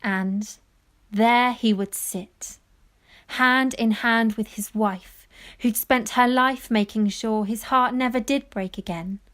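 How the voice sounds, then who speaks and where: A woman playing a wistful granddaughter, giving lyrical, measured narration in an audio play. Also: on the high side, fairly even intonation, a bit bright. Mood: tender nostalgia. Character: wistful granddaughter narrating family memories of fishing village life. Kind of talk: poetic scripted narration.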